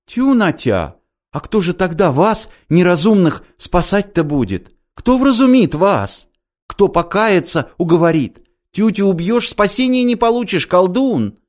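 A man voicing a ragged male holy fool who wheedles and preaches doom in a high, swooping voice. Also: very animated, unhurried. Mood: ranting. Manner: wheedling, ecstatic, calls himself by name.

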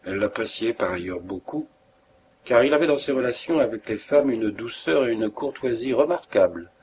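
A man reading a classic detective story aloud as an audiobook narrator, in a measured, literary way. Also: medium pitch, expressive, a little mellow, slight background noise. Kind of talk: scripted narration.

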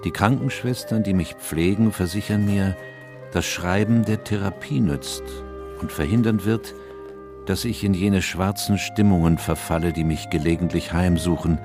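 An actor playing an older, loyal English doctor in a radio drama, narrating and speaking in a grave, reflective, melancholy way. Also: male voice, deep voice, moderate pace, music in the background, natural voice.

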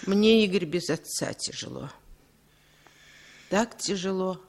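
A woman's voice: medium pitch, slow, darkish tone. A woman playing a sorrowful, lonely older mother, plaintive, in a 1960s Soviet radio play. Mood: sorrowful, pleading.

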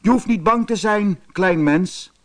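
A man's voice: sits high, measured pace, pitched above the performer's own voice. Een man die een sluwe, hongerige tijger speelt in een sprookje, vleierig, zelfingenomen en snel ongeduldig. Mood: falsely polite. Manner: wheedling, self-satisfied, impatient when crossed. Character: sly, vain, hungry male tiger, falsely polite. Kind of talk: storybook character voice.

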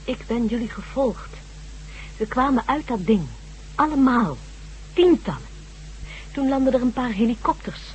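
A woman's voice: on the high side, animated, moderate pace, pauses often, slight background noise, lightly acted, rough recording. An actress playing an earnest woman scientist in a radio drama, speaking in an urgent, persuasive and polite way. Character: earnest, insistent woman scientist who treats friends.

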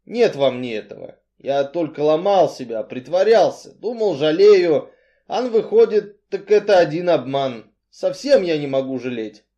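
A man voicing a brooding, weary strongman who speaks bluntly and emphatically in halting, colloquial phrases. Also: fairly high pitch, lively intonation, mellow, highs cut off. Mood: blunt, emphatic.

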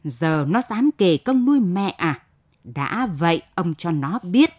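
A woman's voice: on the low side, sing-song, measured pace, darkish tone. A woman voicing a hot-tempered, scornful, bossy village man in a story. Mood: indignant.

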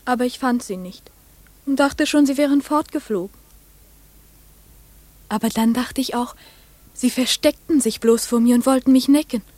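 A woman's voice: high voice, moderate pace, long pauses, darkish tone, no room sound. An actress playing a trusting young farmer's daughter, telling her story simply and earnestly in a radio play. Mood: earnest, searching. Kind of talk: literary radio play monologue.

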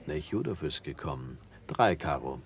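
An actor in a 1960s German radio drama playing an amused, gossipy upper-class card player with a mocking manner. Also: male voice, very deep voice, sing-song, moderate pace, exaggerated voice. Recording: noisy old 1960s radio recording.

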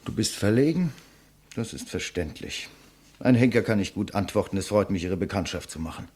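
Proud, theatrical writer with sweeping pitch. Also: male voice, lively intonation, normal speed, a little mellow, husky. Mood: scornful, composed. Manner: theatrical, eloquent, sardonic. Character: proud, defiant male writer facing his executioner. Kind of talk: 1950s German radio play acting.

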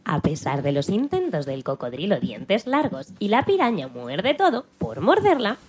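Very animated woman storyteller. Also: medium pitch, moderate pace, husky. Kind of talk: dramatised children's story narration.

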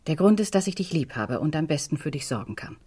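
A woman's voice: deep voice, expressive, fast talker, warm tone, husky. An actress playing a firm, possessive mother in a radio drama, speaking in an insistent, controlled manner. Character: firm, possessive mother pleading with her resistant daughter. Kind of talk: German radio crime drama acting.

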